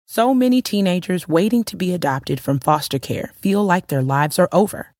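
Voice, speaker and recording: Earnest low woman, dry voice-over. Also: darkish tone, no echo. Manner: earnest, measured. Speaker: ad narrator. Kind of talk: scripted public service ad.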